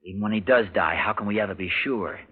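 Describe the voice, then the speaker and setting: An actor playing a bitter, worn-down young husband with an intense, brooding delivery in a 1940s radio drama. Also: male voice, on the low side, fast, natural voice. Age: young adult. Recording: noisy, tinny old radio recording.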